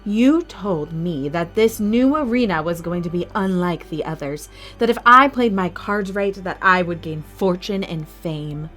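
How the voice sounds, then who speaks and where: A low-pitched, commanding female arena master played by a woman in an audio drama. Her delivery is formal and clipped, with a cold, suspicious and ruthless edge. Soft music runs underneath. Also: lively intonation, a little slow, few pauses. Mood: defensive, controlled.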